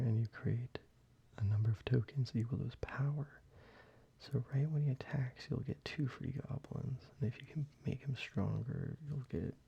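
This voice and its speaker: A man speaking softly, mostly in a whisper, casually showing cards for an ASMR video, with fillers and small pauses. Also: medium pitch, creaky, muffled recording, slight background noise. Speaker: ASMR creator. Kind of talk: soft-spoken ASMR card showcase.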